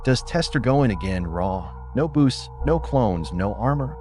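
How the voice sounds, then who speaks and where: A man's voice: mid-range voice, expressive, measured pace, lightly acted. A man voicing a jokey, teasing male gamer in an audiobook, casual and bantering. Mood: amused, teasing. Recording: music behind the voice.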